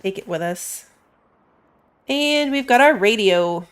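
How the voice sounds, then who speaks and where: A woman's voice: mid-range voice, expressive, takes it slowly, clean tone. A woman giving casual live commentary as she plays a game, chatting and thinking aloud. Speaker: game streamer.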